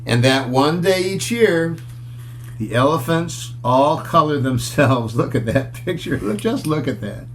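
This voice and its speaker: A man's voice: on the high side, lively intonation, a little hiss or hum. A man reading a children's picture book aloud in a storytelling style, with chatty asides to his listeners. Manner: chatty, pauses to comment on pictures and question listeners.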